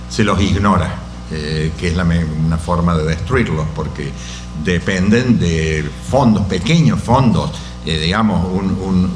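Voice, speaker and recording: A man answering audience questions after a lecture, slow and halting with many fillers. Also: low voice, reverberant, a little hiss or hum. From Argentina. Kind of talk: academic Q&A answer.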